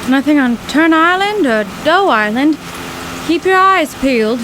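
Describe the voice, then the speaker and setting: A woman's voice: high voice, expressive, unhurried. An actress playing a tough, plainspoken, impatient young woman in an audio drama, brusque and sardonic with wisecracks. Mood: matter-of-fact. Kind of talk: audio drama acting in old-time radio manner. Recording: noisy boat engine and storm ambience.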